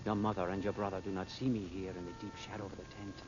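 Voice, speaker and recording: Deep-voiced ardent desert suitor played by a man. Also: level tone, fast, background noise, natural voice. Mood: impassioned. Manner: formal, flowery, intense. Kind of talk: 1940s radio acting, romantic melodrama. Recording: muffled vintage radio recording with music. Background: music.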